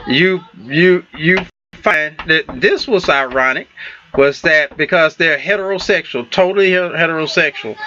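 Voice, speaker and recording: A man with a Southern US accent hosting a relationship talk show, halting with many fillers and restarts. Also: a little high, bright, forceful tone, dull audio. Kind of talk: casual radio talk show chat.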